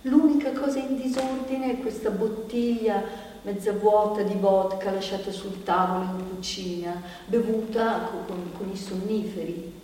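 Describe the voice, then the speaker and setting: An actress playing a restless, weary woman who confides in her audience in a halting, conversational theatrical monologue, repeating herself as she thinks aloud. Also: mid-range voice, level tone, warm tone, close to an everyday voice. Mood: reflective. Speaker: stage actor. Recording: echoey hall from far off, faint noise.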